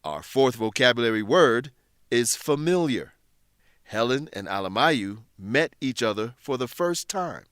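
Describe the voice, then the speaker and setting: A man presenting an English lesson in scripted narration, explaining things in a measured way with careful enunciation. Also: mid-range voice, lively intonation, a bit bright, dry recording, clean studio sound.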